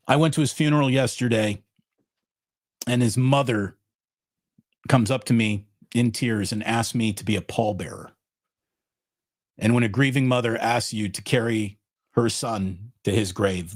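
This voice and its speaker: A man's voice: lowish voice, no room sound. A man co-hosting a casual sports talk show. He is emphatic and repeats phrases for emphasis.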